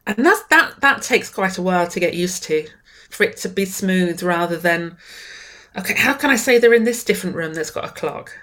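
Lower-pitched woman, eager, restarting often. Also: lively intonation, moderate pace, pushed and bright. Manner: enthusiastic, many restarts and repeated words. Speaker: writer and guest. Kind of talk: conversational interview.

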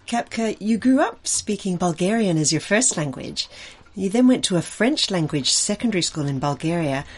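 Woman host, lowish voice, measured and articulate, reads a scripted intro and then asks questions. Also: expressive, slightly rough. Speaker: interviewer and presenter.

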